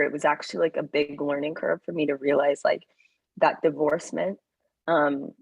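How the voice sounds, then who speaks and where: Low husky woman, chatty guest. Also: no room sound, highs cut off. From Kenyan-American, raised in the US, Canada and South Africa. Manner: animated, laughs often, talks in loose run-on phrases. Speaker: guest, actor and writer. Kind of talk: casual interview chat.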